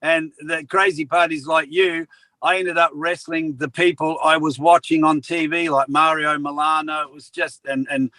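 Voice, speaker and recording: A man's voice: a little high, flat delivery, normal speed, bright, forceful tone, dry recording, poor audio. An older Australian man, a former wrestler and actor, co-hosting a casual interview with a chatty, joking manner. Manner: chatty, jokey, trails off searching for names.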